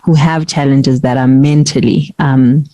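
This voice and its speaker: A woman's voice: rumbling low, fairly even intonation, a little slow, mellow, dry recording. A South African woman hosting a radio interview, talking in a reflective, conversational way. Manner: reflective, conversational with frequent affirming murmurs. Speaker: radio host.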